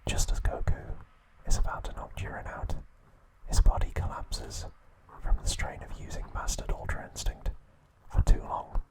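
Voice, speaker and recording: A man's voice: moderate pace, rough recording. A man hosting an ASMR video, giving a whispered recap with playful asides. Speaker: ASMR channel host.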